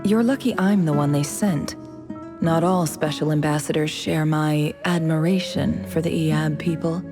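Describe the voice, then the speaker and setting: An actress playing a cool, calculating young woman in a video game, a scholarly envoy who speaks with measured, polite persuasion. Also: deep voice, steady tone, over music. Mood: courteous, persuasive.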